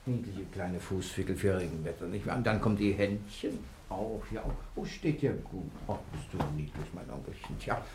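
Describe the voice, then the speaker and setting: A man's voice: deep voice, normal speed, few pauses, a little mellow, a little hiss or hum, low-quality recording. A man acting a cunning, cheeky fugitive thief in a 1960s German radio play, with a coaxing, fussing manner. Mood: playful, coaxing. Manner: fussing, coaxing mutter to himself.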